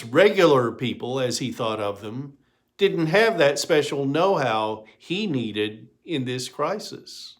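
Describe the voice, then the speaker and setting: A man reading a story aloud in an expressive storybook narration style. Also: fairly high pitch.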